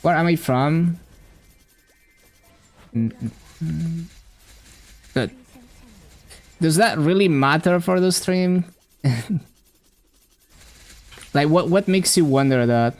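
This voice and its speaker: An Italian streamer whose first language is Italian chats loosely over a game stream. The English accent is a patchwork picked up from watching online content. The delivery rambles, with frequent "like" and stumbling restarts, at an ordinary middle pitch. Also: slow, pauses often, a little mellow, faint music behind. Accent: mixed, self-taught English accent. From Italy. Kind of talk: casual live-stream chat while gaming.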